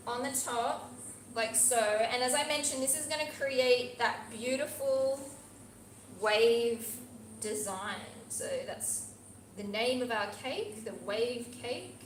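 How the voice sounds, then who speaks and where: A woman's voice: high-pitched, roomy sound, slight background noise, poor audio. A woman from Australia with an Australian accent, chatting encouragingly as she demonstrates a baking recipe in a teaching kitchen. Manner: chatty, encouraging, talks while working with her hands. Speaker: pastry instructor. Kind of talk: live cooking demonstration. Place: teaching kitchen at a pastry academy.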